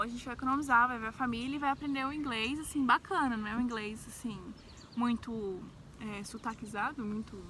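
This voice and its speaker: A young woman with a central-west Brazilian accent speaks in a fairly high, bright voice. She chats informally like a vlogger sharing her own story, dropping in small tags for agreement. There is faint noise behind her. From Brazil, grew up in Brasília. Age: young adult. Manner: informal, peppers speech with tags seeking agreement. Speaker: travel vlogger. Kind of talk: casual, chatty personal storytelling.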